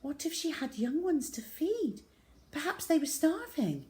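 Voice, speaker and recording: Lady doing a worried sweet mum from a kids book, soft n coaxing, voice lifted up a bit. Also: high-pitched, big pitch swings, a little slow, pitched above the performer's own voice. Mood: pleading. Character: gentle, anxious mother of a young boy.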